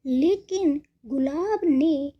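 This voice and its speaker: A woman's voice: high-pitched, drawn-out words, highs cut off. A woman narrating a scripted moral story for children.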